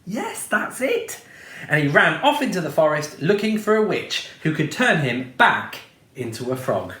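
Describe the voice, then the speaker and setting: Male storyteller, playful read-aloud, lively, roomy sound. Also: fairly high pitch, moderate pace. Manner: expressive, playful. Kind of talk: reading a children's storybook aloud.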